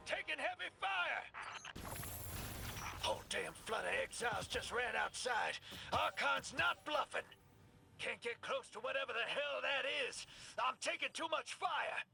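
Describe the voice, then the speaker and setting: Gravelly, folksy roguish pilot. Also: male voice, very high voice, expressive, measured pace, noisy background, full character voice. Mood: alarmed. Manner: drawling folksy slang. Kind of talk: video game acting.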